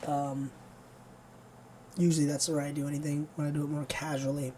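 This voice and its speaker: Hoarse, rambling gaming streamer. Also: mid-range voice, little pitch movement, fairly quick, mellow, a little hiss or hum. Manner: rambling, easygoing, many fillers. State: hoarse, voice nearly gone. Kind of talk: casual chat to viewers before gameplay.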